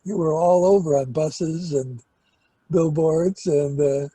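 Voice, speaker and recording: An older man hosting a casual interview, hesitant and pausing mid-sentence as he asks questions. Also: fairly high pitch, dark tone, breathy edge, dry recording, poor audio. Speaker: interviewer and host, record producer.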